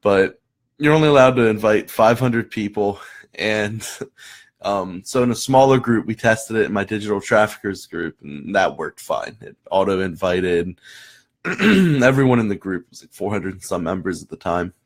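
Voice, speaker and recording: A young man with a low, hoarse voice hosts a casual live chat for viewers. He rambles, restarts his sentences and fills gaps with ums and you knows. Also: expressive, normal speed. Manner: rambling, many restarts and fillers. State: hoarse after losing his voice. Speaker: host of a live marketing chat.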